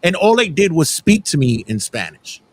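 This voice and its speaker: Lively man with a bouncing pitch. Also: normal speed, breathy edge. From Puerto Rican family. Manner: animated, repeats words when starting sentences. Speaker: podcast host. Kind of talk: casual chat.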